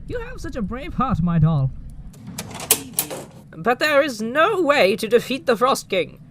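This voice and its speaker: An actor playing a timid, gentle toy lord, a jack-in-the-box, in a polite, hesitant, theatrical manner for an audio drama. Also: medium pitch, big pitch swings, a little slow, noisy background. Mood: gloomy, wary. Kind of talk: amateur audio drama acting.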